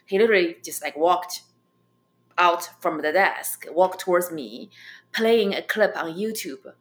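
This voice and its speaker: A young adult woman from China with a Chinese accent, a comedian telling her story as an interview guest, halting with many fillers and restarts. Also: fairly low pitch.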